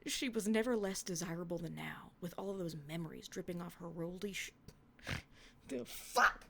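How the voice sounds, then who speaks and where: A young woman reading her own old fan fiction aloud in a hushed, half-whispered voice, then chatting about it with giggly, self-mocking commentary. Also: lowish voice, normal speed, gravelly, background noise. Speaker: podcast reader.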